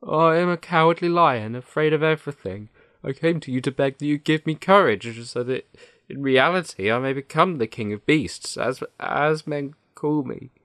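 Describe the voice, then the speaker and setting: A man's voice: fairly high pitch, normal speed, fairly bright tone, no room sound, voice pushed up, natural voice. A man voicing a cowardly, blustering lion in a children's storybook, dramatic and earnest. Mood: timid, pleading. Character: cowardly male lion, blustering yet timid and pleading.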